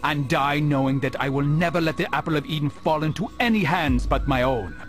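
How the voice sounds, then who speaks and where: An actor playing a brooding, resolute young assassin in a video game, speaking in a measured, solemn way. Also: male voice, fairly high pitch, music in the background, close to an everyday voice. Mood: cold, defiant. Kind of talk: scripted video game cutscene acting.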